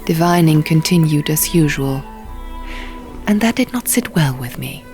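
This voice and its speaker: A woman's voice: low voice, animated, unhurried, a little mellow. A woman reading scripted first-person fantasy fiction as an audiobook narrator. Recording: music behind. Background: music.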